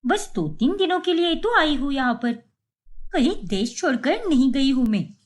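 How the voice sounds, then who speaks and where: A woman's voice: sits high, very animated, normal speed. A woman voicing a rude, stubborn young new bride with a blunt, curt way of talking. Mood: defiant.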